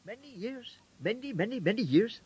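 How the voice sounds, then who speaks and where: A man's voice: high-pitched, expressive, normal speed, a little mellow, slightly rough, full character voice. A man playing an elderly, cheerful and wistful Indian sweeper with an Indian accent in a comic radio drama, lilting and eagerly polite. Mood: contented. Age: older. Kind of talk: radio comedy drama acting.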